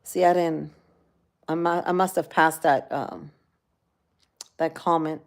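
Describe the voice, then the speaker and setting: A woman with a Cuban accent chats casually on a livestream, talking straight to her viewers and reading their comments aloud. Her voice sits a little low, and she rambles along with plenty of fillers. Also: fairly even intonation, slow. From grew up in Florida. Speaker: livestream host.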